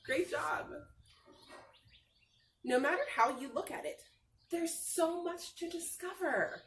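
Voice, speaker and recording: Woman, children's read-aloud teacher, high voice, encouraging, drawn-out phrases, distant mic with birdsong. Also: fairly bright tone. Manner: encouraging, simple teacherly talk addressed to children. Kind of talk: children's picture book read-aloud with questions to young listeners. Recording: roomy echo, distant mic, faint noise.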